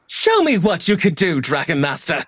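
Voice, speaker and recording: A confident young male rival from a video game. He pitches his voice high and swings it around a lot, sounding showy, cocky and challenging. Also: wildly swooping pitch, moderate pace, a bit bright, exaggerated voice.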